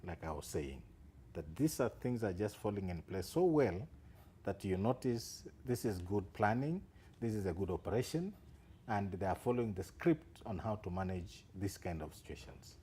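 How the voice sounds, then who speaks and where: A man's voice: lowish voice, very animated, moderate pace, warm tone, husky. A man, a security analyst guest on live TV news, explaining with assurance and emphatic rises.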